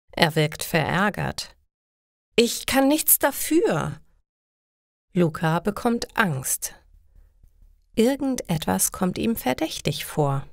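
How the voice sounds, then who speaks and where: A woman narrates a learner's story slowly and deliberately, with very lively intonation. Her voice sits slightly low with some creak, in a very dry recording. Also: no room sound. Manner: deliberate, short clear sentences.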